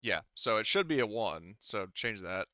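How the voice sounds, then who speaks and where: A man's voice: on the low side, very animated, normal speed, crowd noise. A man speaking as game master of a tabletop roleplaying game, casually profane, talking players through the rules. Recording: dull, lo-fi close dry voice track.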